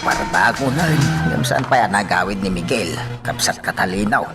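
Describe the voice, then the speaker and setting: A man's voice: low voice, lively intonation, a little fast, over loud music. A man acting a concerned, cautioning village elder in an Ilocano radio drama.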